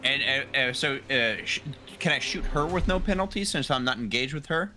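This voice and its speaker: A man's voice: medium pitch, animated, a little fast, sharp tone, soft background music. A man chatting casually as a player in a tabletop roleplaying game.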